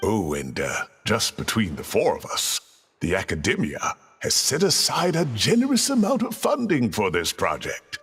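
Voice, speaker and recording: A man's voice: fairly low pitch, huge pitch swings, moderate pace, fairly bright tone, breathy edge, big-room sound, soft background music, full character voice. A man voicing a jovial, talkative middle-aged guild leader with hearty, playful boasting in a game dub.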